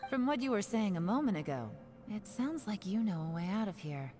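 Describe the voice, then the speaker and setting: A woman's voice: mid-range voice, big pitch swings, a little fast, dark tone, soft background music, close to an everyday voice. An actress playing a cool, shrewd woman investigator in a video game, composed and knowing. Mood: probing.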